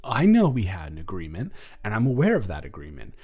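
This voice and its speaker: A man playing an awkward, affectionate high school boy in a romantic audio roleplay, speaking in a rambling, stammering way. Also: wildly swooping pitch, a little mellow, breathy edge, faint music behind, heavily acted. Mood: playful, indignant. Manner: halting, rambling, stammering, self-deprecating.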